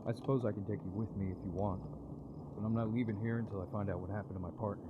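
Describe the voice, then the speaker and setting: An actor playing a gruff, world-weary police officer with a dry, matter-of-fact manner in a video game. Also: male voice, lowish voice, steady tone, racing, dull audio, lightly acted. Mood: guarded, weary. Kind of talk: video game dialogue acting. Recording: noisy game audio with cricket ambience.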